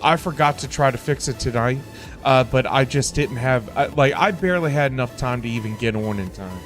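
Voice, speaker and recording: A man livestreaming a game, reacting and chatting casually in an animated, exclamatory way, often repeating words. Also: mid-range voice, a little fast, soft background music.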